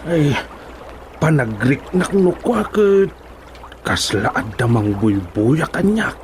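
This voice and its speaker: A man's voice: a little high, sing-song, takes it slowly, a little mellow, slight background noise. A man with an Ilocano accent playing a hesitant, fretful cousin in a radio comedy drama. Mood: uneasy.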